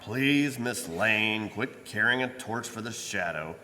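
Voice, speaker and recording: A man's voice: lowish voice, lively intonation, slow pace, pushed and bright, heavily acted. A man playing an impatient, suspicious police commissioner in an old-time radio drama, blustery and brusque. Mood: irritated, insistent. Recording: live stage in reverberant church hall.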